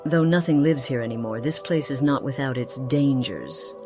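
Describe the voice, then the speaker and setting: Casting: actress for earnest, solemn woman companion in a fantasy RPG, unusually low register, courtly wording. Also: moderate pace, over music. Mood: guarded. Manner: formal archaic phrasing, earnest. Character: Alicia, grave haunted woman companion, formal and concerned. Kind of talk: dramatic fantasy game voice acting.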